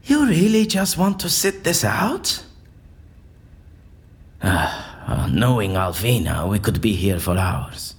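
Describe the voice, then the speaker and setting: Eccentric gentleman, florid, pompous, erudite, very animated pitch, audio drama. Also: slow pace, full character voice. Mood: chatty, whimsical. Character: eccentric, theatrical, erudite man, convinced his interrogation is staged.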